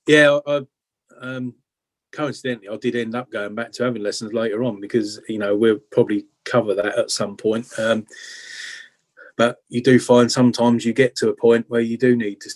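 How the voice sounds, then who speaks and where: A middle-aged man with an English accent chatting casually as an interview guest, reminiscing in an informal, halting, self-effacing way. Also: medium pitch, flat delivery. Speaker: interview guest, blues rock guitarist.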